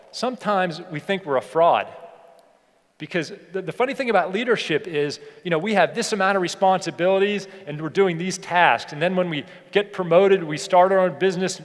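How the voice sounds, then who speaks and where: A middle-aged American man giving a motivational keynote, talking in a conversational, emphatic way and repeating key phrases. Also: high voice. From United States (Pittsburgh). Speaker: keynote speaker, leadership educator. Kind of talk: motivational keynote speech with personal anecdotes. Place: large indoor hall, speaking to an audience. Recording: echoey hall with long reverb.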